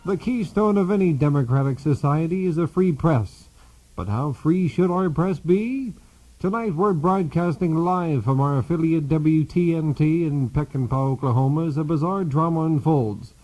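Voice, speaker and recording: Grave, mellow man playing a news anchor. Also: fairly high pitch, natural voice, rough recording. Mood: solemn, earnest. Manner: solemn, measured. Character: grave, self-important male TV news anchor. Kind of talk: mock news broadcast narration.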